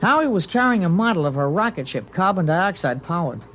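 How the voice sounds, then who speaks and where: A man's voice: sits high, very animated, a little fast, a bit bright, a little hiss or hum. An actor playing an earnest, reflective teenage boy who narrates a 1950s radio drama.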